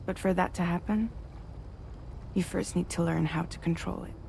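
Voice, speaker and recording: A woman's voice: fairly low pitch, fairly even intonation, moderate pace, gravelly, a little mellow, slight background noise. An actress playing a kindly, assured woman leader in a video game cutscene, composed and welcoming. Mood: warm, welcoming.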